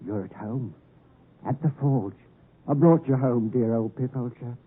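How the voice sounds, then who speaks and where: An actor playing a kindly, humble English country blacksmith, gentle, loyal and halting, in a 1950s radio drama. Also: male voice, mid-range voice, breathy edge. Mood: tender, soothing. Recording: muffled, tinny vintage 1950s radio.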